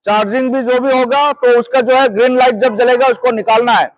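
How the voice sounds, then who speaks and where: A man's voice: extremely high pitch, fairly even intonation, a little fast, no room sound, dull audio. A man teaching as a security trainer, repeating points and often checking that listeners follow. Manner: repetitive, checks understanding often. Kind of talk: hands-on instructional lesson.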